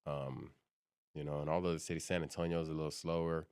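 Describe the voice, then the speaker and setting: A young man with an extremely deep voice chats easily as a podcast guest. His talk is loose and friendly, peppered with "bro" and "you know what I mean". The sound is close and dry, with little room around it. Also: level tone, fast, a little mellow, smooth, no room sound. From South Sudan. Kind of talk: casual podcast chat.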